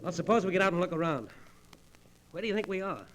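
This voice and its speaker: A man's voice: high-pitched, animated, fast. An actor playing a brave, practical American airman in a wartime radio play, on a noisy old disc recording. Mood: wry, calm. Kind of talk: 1940s radio drama acting.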